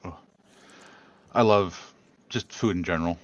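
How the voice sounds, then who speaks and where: A man's voice: deep voice, a little slow, highs cut off. A man co-hosting a casual online cooking chat, easygoing and hesitant. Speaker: co-host.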